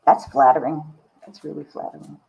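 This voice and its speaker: A woman in her sixties chatting casually and expressively on a video call, with a slightly husky voice. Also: deep voice, a little fast, highs cut off. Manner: expressive, exclaiming. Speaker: guest artist. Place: small indoor studio room with bookshelves.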